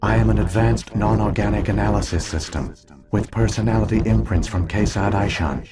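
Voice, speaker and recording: Guy doing an ancient alien ai guardian for a sci-fi game, super low voice, formal, grave n tired. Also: brisk, a crowd in the background, close to an everyday voice. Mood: solemn. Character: ancient alien virtual intelligence, solemn, weary guardian.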